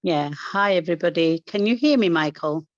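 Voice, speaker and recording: Low-voiced woman on a dull call line. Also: expressive, a little fast, no echo. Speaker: guest poet and carer. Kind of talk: informal self-introduction. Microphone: video call.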